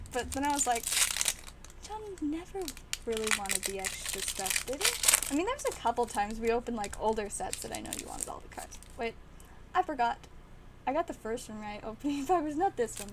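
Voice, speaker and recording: A woman hosting a card-opening livestream, chatting casually and excitedly, her voice slightly raspy and strained. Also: a little high, noisy background. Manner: chatty and excitable, with frequent laughs. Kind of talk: casual livestream chat while opening packs.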